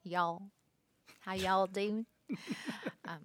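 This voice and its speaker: A woman's voice: mid-range voice, steady tone, very slow, a bit bright, slight background noise. A woman from Texas with a Texan accent, a playful, teasing guest on a casual podcast.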